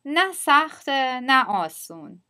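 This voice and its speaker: A woman speaking scripted dialogue lines for a language lesson, with crisp, lively intonation. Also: high voice, quick pace.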